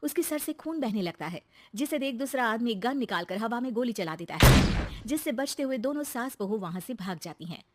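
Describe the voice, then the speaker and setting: A woman's voice: on the high side, animated, moderate pace, heavy background noise. A woman reading scripted narration for a children's story as the storyteller.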